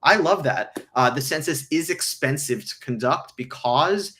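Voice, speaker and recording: A man hosting a casual livestream, answering viewer questions with frequent ums, his voice hoarse from illness. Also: fairly high pitch, animated, a little slow, pushed and bright. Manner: frequent ums, earnest explanatory.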